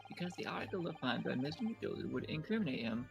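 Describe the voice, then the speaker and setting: A man voicing an earnest young defence lawyer in an amateur courtroom game dub, measured, formal and probing. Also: mid-range voice, big pitch swings, gravelly, over music. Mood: accusing.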